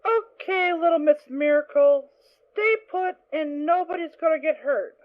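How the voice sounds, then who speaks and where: A woman's voice: sits high, a little slow, no room sound. A woman playing a wary, tough unicorn mercenary, talking in a guarded, commanding way. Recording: tinny phone-like, dry sound.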